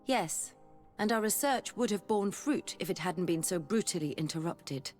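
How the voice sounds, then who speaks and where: A formal, assertive woman scholar voiced by an actress in a fantasy game. Her voice sits a little low, with soft music behind it. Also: lively intonation, moderate pace, close to an everyday voice. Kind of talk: video game cutscene acting. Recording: background music under the voice.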